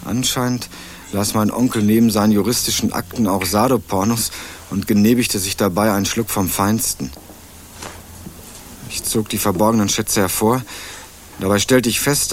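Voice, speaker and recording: Guy acting as young student narrator in radio crime play, lowish voice, wry measured telling, music behind. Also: natural voice. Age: young adult. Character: young male student turned amateur sleuth, sober and wry. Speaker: narrator and protagonist. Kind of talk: scripted first-person crime radio drama narration. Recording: music under the voice, 1980s radio production.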